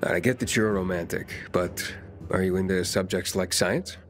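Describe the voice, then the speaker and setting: An actor playing a guarded, cynical young wanderer in a video game, talking bluntly and casually. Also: male voice, deep voice, moderate pace, faint music behind, close to an everyday voice. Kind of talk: video game cutscene dialogue.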